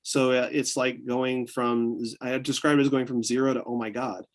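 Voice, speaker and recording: A man's voice: medium pitch, little pitch movement, normal speed. Rambling, eager American man with mixed accent. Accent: mixed Southern and Northern US. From upstate New York. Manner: enthusiastic, rambling with many restarts and fillers. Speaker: guest, photographer. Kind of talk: casual interview chat.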